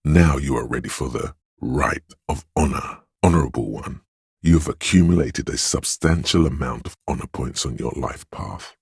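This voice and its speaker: An actor voicing a solemn, grandiose inner voice of authority in a video game, speaking in a pompous, ceremonious and deliberate manner. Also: male voice, extremely low pitch, a little mellow, breathy edge, no room sound, studio quality, exaggerated voice. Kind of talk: scripted game voice lines, theatrical narration.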